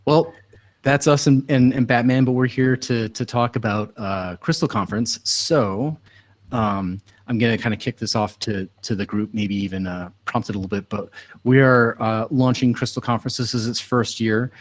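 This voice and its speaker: A man's voice: medium pitch, normal speed. A man hosting a casual chat on a video call. He sounds upbeat, laughs often and leans on "like" as a filler. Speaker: host and announcer. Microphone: computer mic on a video call.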